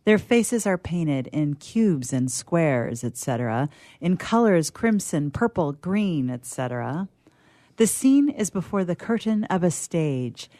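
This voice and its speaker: Low-voiced woman radio player. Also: very animated, a little slow, mellow, no room sound. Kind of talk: casual talk and scripted stage-direction narration.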